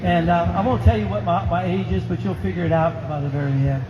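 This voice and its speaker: A man's voice: high-pitched, steady tone, quick pace, highs cut off. A man in his sixties, a singer-songwriter chatting between songs at a live show, rambling and folksy with plenty of "uh" and restarts. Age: 60s. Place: live music venue. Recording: roomy live sound with loud guitar music.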